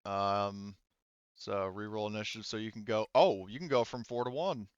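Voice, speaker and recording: A man running an online tabletop roleplaying game as game master, talking casually and thinking aloud with hesitant pauses. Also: fairly low pitch, muffled recording, a little hiss or hum.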